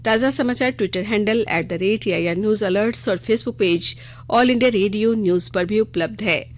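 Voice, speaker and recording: A woman news reader delivering a scripted radio bulletin in a formal news-reading style. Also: mid-range voice.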